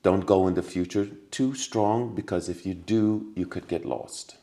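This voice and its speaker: A man's voice: deep voice, unhurried, gravelly, mellow. A man talking as a podcast guest, telling stories earnestly with many restarts and repetitions. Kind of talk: casual conversation.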